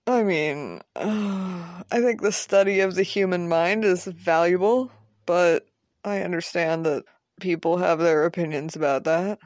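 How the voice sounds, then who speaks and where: A woman's voice: on the low side, darkish tone, dry recording, natural voice. A mild male college professor in an audio drama, played by a woman. He is educated and dry, choosing precise words with some hesitation, in a close, dry recording. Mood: dry, hesitant. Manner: hesitant, precise, measured wording.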